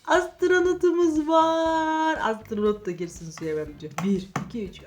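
A woman's voice: high-pitched, fairly even intonation, measured pace. A woman hosting children's toy play, narrating playfully and talking to the toys in sing-song encouragement. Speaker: children's channel host. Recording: music under the voice. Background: music.

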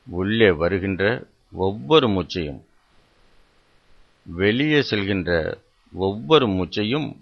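Male meditation guide: low pitch, very slow measured delivery with repeated phrases, dull lo-fi recording. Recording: dull, muffled lo-fi sound.

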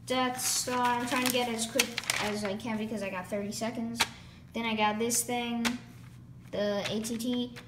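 A woman who is losing her voice, casually rambling as she flips through a toy catalog for her vlog. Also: a little high. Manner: rambling, lists items quickly with filler words. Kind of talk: casual off-the-cuff vlog commentary. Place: indoors, room. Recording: echoey room with clear background noise.

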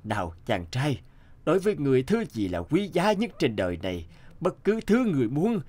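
An old, ailing king from a cartoon folktale. He speaks as a loving father, stately and gracious, with expressive pitch movement. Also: a little fast. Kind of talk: animated folktale dubbing.

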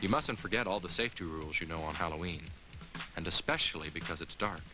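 An actor playing a friendly, earnest talking jack-o'-lantern, gently instructing children in the scripted style of a 1980s educational film. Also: male voice, deep voice, fast talker, close to an everyday voice, low-quality recording. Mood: kindly, instructive.

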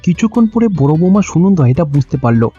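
Mellow Bengali man narrating a story. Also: a little high, very animated, quick pace, husky. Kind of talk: scripted cartoon story narration. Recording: dull, lo-fi audio with music behind.

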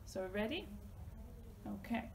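A woman's voice: mid-range voice, very animated, slow, creaky, a little hiss or hum. A woman teaching young children a Gaelic rhyme about the face, patiently naming each word and its meaning before chanting it. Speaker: library storytime leader. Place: library reading corner.

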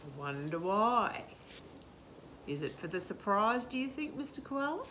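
A woman's voice: mid-range voice, a little slow, slight background noise. A woman in her sixties reading a picture book to young children in a sing-song, questioning way. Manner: animated, sing-song, asking the listener questions. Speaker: children's storytime host. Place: outdoor garden patio. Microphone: camera a short distance away.

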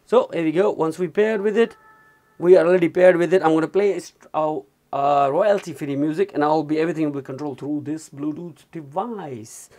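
Male product reviewer, slightly high pitch, informal hands-on demo delivery. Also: brisk, dark tone. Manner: informal, repeatedly addresses viewers as guys. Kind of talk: hands-on gadget review demonstration. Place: indoors at a desk.

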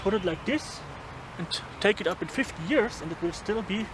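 A man's voice: high-pitched, lively intonation, brisk. A man in his thirties demonstrating a knife outdoors in a forest, chatting casually and chuckling between remarks, recorded on a camera mic. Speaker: bushcraft vlogger. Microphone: handheld camera mic. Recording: outdoor camera audio with background noise. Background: forest ambience.

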